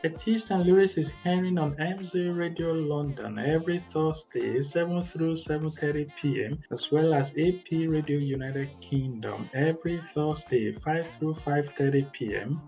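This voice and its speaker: A woman's voice: sits low, moderate pace, few pauses, warm tone. A woman presenting a radio music programme as its host, announcing the show and introducing her guest. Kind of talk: scripted show announcement and interviewing.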